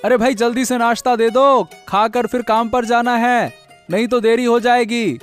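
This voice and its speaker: A man's voice: extremely high pitch, fairly even intonation, normal speed, smooth, faint music behind. A man playing a bossy but caring husband in an acted story, chatty, emphatic and affectionately nagging. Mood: impatient, calling out. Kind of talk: acted Hindi audio story dialogue.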